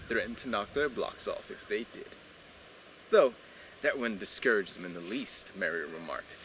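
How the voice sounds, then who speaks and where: A man telling a folk tale in a theatrical, deliberate way with emphatic pauses, recorded at a live storytelling event. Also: mid-range voice, fairly bright tone, a little hiss or hum, rough recording. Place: live evening storytelling program, filmed.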